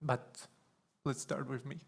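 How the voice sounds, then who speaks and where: A young man from Slovakia giving a conference talk on stage, nervous and halting, with many fillers and restarts. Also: medium pitch, level tone, creaky, husky. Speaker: conference speaker.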